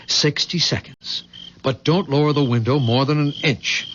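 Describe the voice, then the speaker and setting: An actor playing an irritable, sarcastic, protective young husband in an old-time radio drama. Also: male voice, on the high side, moderate pace, a bit bright, natural voice. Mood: irritated, sarcastic. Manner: sardonic, wisecracking. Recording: dull, noisy old radio recording.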